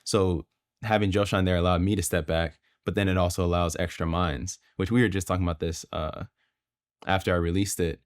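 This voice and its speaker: Low-voiced man, laid-back storyteller. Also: brisk, no echo, studio quality. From Florida. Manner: relaxed storytelling with many fillers. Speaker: guest videographer and director. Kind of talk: casual podcast conversation.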